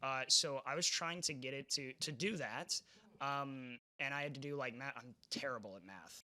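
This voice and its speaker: A man's voice: mid-range voice, moderate pace, sharp tone. A young man, a game programmer, chatting casually on a convention panel, rambling with frequent restarts. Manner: rambling, stumbles and restarts often.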